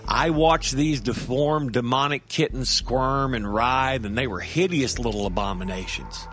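A man's voice: mid-range voice, unhurried, a bit bright, natural voice. Casting: male actor as indignant, rattled granddad for comic horror audio drama; chatty, stammering storyteller over music. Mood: amazed, uneasy. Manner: conversational, stammering, seeking agreement with tag questions. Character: rattled, indignant grandfather phoning in about a monster cat. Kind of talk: first-person horror-comedy monologue for audio drama.

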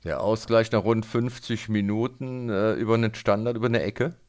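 A man's voice: on the low side, fairly even intonation, normal speed, darkish tone. A man working as a sports radio interviewer, putting questions to a guest after a match.

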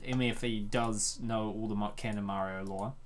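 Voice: mid-range voice, fairly even intonation, moderate pace, slight background noise. A host giving casual, jokey reaction commentary on a comic, with a playful, exclamatory manner.

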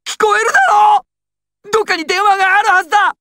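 Young male anime gangster: high-pitched, jittery, stammering, servile but explosive, dry close recording. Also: flat delivery, quick pace, bright, forceful tone, no room sound, raised voice. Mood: furious, frantic. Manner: stammering, swings from timid to explosive. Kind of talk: anime and game dramatic acting.

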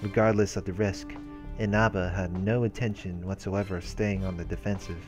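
Very deep-voiced man reading a story. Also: fairly even intonation, brisk. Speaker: let's play host reading visual novel text aloud. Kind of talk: narration read aloud with brief casual commentary. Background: game music.

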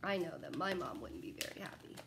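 A young adult woman reading a children's picture book aloud like a teacher, in an animated, expressive way with asides to young listeners. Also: on the low side, a little hiss or hum. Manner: animated, pausing to ask young listeners questions. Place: small living room at home, indoors. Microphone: phone camera a short distance away.

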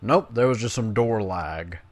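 Low male voice, unhurried, casual gameplay commentary, playful, joking. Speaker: gamer commentating on own play. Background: video game sounds.

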